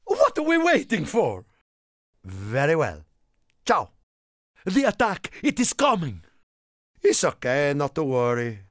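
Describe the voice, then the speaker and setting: A man voicing a small, excitable, friendly Italian car in a video game, theatrical and exclamatory, with an Italian accent and broken English. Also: a little high, takes it slowly, pauses often, no room sound, clean studio sound, full character voice. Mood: eager, encouraging, then alarmed. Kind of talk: animated video game character lines.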